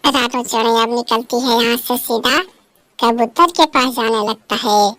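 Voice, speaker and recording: A woman with a high-pitched voice narrates a children's cartoon tale. It is scripted storytelling, recorded dry with no room sound. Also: steady tone, measured pace, a bit bright, no room sound.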